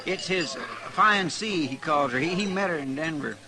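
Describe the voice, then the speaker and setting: An actor playing a folksy, guileless, gossipy marshal's helper with a rural American drawl in a 1950s radio drama. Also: male voice, a little high, moderate pace, natural voice. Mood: eager, chatty. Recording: vintage radio broadcast, crowd behind.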